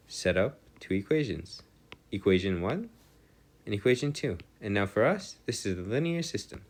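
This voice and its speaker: A man giving a maths lesson as a tutor, working aloud in a hesitant, self-checking way with formal, slightly old-fashioned phrasing. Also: sits low.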